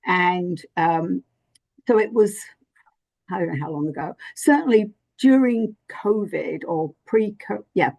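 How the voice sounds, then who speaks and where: A woman's voice: fairly low pitch, unhurried, gravelly, a little mellow. An older woman with an English accent, chatting as a podcast guest with lots of fillers and restarts. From England. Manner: rambling, many fillers and restarts. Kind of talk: casual interview chat.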